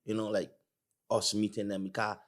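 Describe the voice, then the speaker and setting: A man's voice: on the low side, flat delivery, moderate pace. A man from the Virgin Islands with a Virgin Islands accent, hosting a podcast in casual, joking conversation with loose Caribbean phrasing. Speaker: podcast host.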